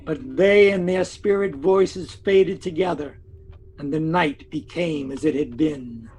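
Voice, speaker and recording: A man with a high voice narrates a classic-style audio drama. His phrasing is formal, deliberate and theatrical. Music plays clearly beneath him. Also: a little mellow. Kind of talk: dramatic scripted storytelling narration.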